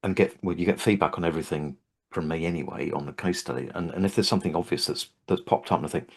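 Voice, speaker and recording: A man with a very deep, low voice, older, talking through his training work in a relaxed interview. He restarts his sentences often and thinks aloud, hesitant but friendly in tone. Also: fast, vocal fry. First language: English. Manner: thoughtful, hesitant with frequent restarts. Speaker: Havening trainer and host. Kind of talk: casual interview chat.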